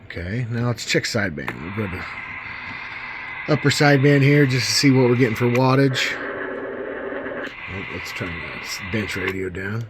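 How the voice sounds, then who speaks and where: A man's voice: mid-range voice, expressive, measured pace, pauses often, background noise. A middle-aged man casually demonstrating gear as a hobbyist video host, in a folksy, enthusiastic and informal manner.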